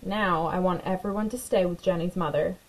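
A woman voicing a calm, kind schoolteacher in a storybook reading, giving gentle, measured instructions. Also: lowish voice, low-quality recording.

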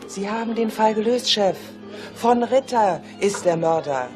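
A woman's voice: medium pitch, animated, measured pace, over music, natural voice. An actress in a German radio drama playing a shrewd, self-assured policewoman who teases her boss. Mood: matter-of-fact, assured. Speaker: police officer.

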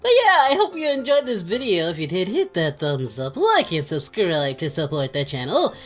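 A woman voicing an excitable cartoon hero hosting a reaction video, with animated, exclamatory casual commentary. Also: lowish voice, normal speed, soft background music. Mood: friendly. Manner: animated, exclamatory, repeats phrases.

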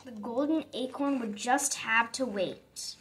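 Female storybook narrator: high, very lively intonation, unhurried, music underneath. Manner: animated, dramatic emphasis for young listeners. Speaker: storybook reader. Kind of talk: expressive children's read-aloud narration. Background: background music.

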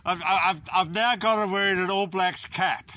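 A man's voice: sits high, unhurried, sharp tone, no room sound. A man with a New Zealand accent chatting and joking with workers on a hiking trail, laughing often. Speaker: hiking companion, co-vlogger. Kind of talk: casual banter.

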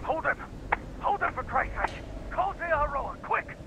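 An actor playing an excitable expedition man on an old recording in a video game. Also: very high-pitched, measured pace, a little hiss or hum, full character voice. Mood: panicked, shouting.

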